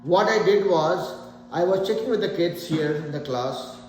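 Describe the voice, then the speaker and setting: A man in his forties teaches math to a live class, talking patiently and checking in with students. His voice sits high for a man. The room rings with a long, echoing reverb. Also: expressive, normal speed. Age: 40s. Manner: patient, repeats points, asks students questions. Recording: echoey room, faint background noise.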